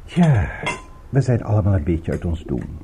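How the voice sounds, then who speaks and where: A man's voice: low voice, sing-song, noisy background. An actor playing a genteel, courteous but evasive male host in a radio drama, speaking politely and urbanely. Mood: regretful, evasive. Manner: polite, urbane, unhurried small talk.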